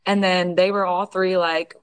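A young woman from Houston. She is a singer being interviewed, and she tells a story in a lively way, acting out what other people said. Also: fairly low pitch, unhurried, clean tone. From Houston, Texas. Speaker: guest singer.

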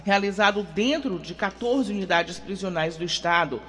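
A radio news co-anchor reading headlines crisply. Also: mid-range voice, normal speed, a bit bright, in a large hall.

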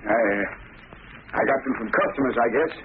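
A man's voice: mid-range voice, brisk, a little hiss or hum, natural voice. An actor playing a frightened, bitter, pleading grocer and family man in an old radio drama. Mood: nervous, evasive. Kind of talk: 1940s radio drama acting. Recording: tinny old radio, loud crowd murmur.

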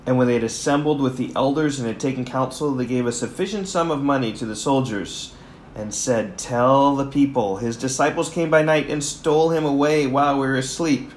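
A man's voice: medium pitch, a little fast, poor audio. Male pastor host, enthusiastic, chatty asides to listeners, faint hiss in background. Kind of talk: casual chat, then Bible reading.

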